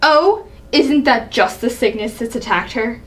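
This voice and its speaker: A woman acts out a proud, angry king from Greek tragedy. The voice sits fairly high and carries imperious indignation. It is a dramatic reading of a father berating his son. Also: lively intonation, measured pace, a bit bright. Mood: indignant.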